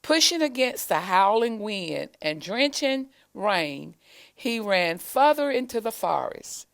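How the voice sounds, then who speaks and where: A woman reads a picture book aloud for children. Her pace is slow and deliberate, her phrasing expressive, and her mid-pitched voice has a noticeable creak. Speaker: school staff member reading aloud to children. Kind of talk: children's storybook read-aloud.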